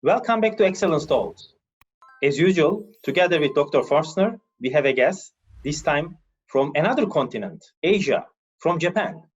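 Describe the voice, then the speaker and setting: Higher-voiced male host, dull audio. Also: lively intonation, normal speed, slightly rough. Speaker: interview host. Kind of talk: introducing and questioning a guest.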